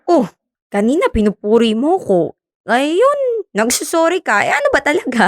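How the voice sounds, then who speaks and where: A woman's voice: high-pitched, very animated, normal speed, no echo, studio quality. An actress with a Filipino accent playing a friendly, modest young woman who teases lightly in a conversational radio drama. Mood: teasing, reassuring. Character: friendly young woman, government fisheries speaker, modest and teasing.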